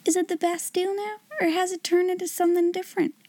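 A humble young servant girl, acted by a woman narrator, speaks in a very high, soft-edged voice. She is breathless and easily awed, with rough, unschooled grammar, as in a children's storybook. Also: little pitch movement, fast talker, no echo. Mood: hushed wonder. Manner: breathless, gasping, uneducated speech with dropped grammar.

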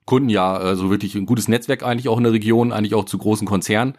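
A man's voice: lowish voice, fairly even intonation, racing, darkish tone. A man, a startup founder telling his story in a podcast interview, rambling with many fillers.

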